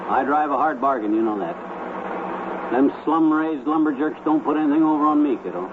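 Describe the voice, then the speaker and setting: A man's voice: on the high side, a little fast, close to an everyday voice. A man playing a boastful, cocky cheapskate husband who loves to haggle, in a slangy 1950s radio comedy style. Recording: tinny, hissy 1950s radio recording.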